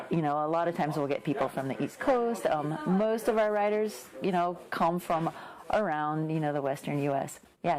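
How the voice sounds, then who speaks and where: A woman's voice: on the low side, darkish tone. A woman answering interview questions conversationally as a local shop representative.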